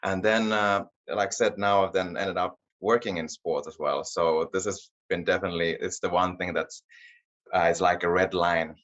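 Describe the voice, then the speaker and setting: Very deep-voiced Finnish man, modest. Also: steady tone, normal speed, fairly bright tone. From Finland. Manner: modest, repeats words while searching for them. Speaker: guest, performance coach. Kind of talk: interview answers. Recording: dull lo-fi audio.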